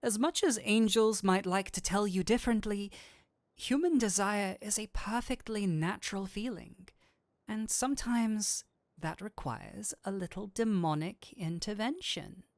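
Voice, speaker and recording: A man plays a seductive, teasing incubus demon speaking straight to the listener in an audio roleplay. His delivery is theatrical and very slow, lingering over words with a flirtatious lilt. The voice sits close and dry on the mic. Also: high voice, no room sound. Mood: seductive, reassuring. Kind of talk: scripted roleplay audio drama, addressing the listener.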